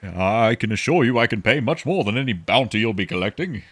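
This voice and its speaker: A man's voice: fairly low pitch, lively intonation, a little fast, a bit bright, husky. An actor playing a nervous, self-important wealthy man on the run, stammering and bargaining formally in a sci-fi audio drama. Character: nervous self-important wealthy male fugitive pleading for his life.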